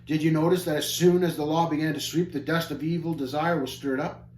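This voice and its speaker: A patient, wise guide explaining things in old-fashioned speech, as a man puts him on for a storybook. His voice is fairly high and measured. The room is echoey. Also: fairly even intonation, darkish tone, lightly acted. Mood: teaching. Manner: archaic, instructive. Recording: echoey room, faint hum.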